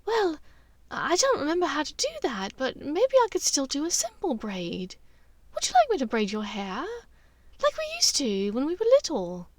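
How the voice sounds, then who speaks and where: A woman voicing a well-bred lady, genteel, smooth and slightly waspish, guarded and polite, in a storybook reading. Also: high-pitched, wildly swooping pitch, moderate pace, dry recording, voice pushed up. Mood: coaxing.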